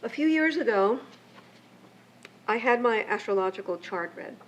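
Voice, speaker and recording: An older woman, raised between Mexico and the United States, reads a prepared keynote speech in a measured, reflective way from her pages. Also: on the high side, lively intonation. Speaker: keynote speaker and memoirist. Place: lecture event. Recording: muffled lo-fi with faint hiss.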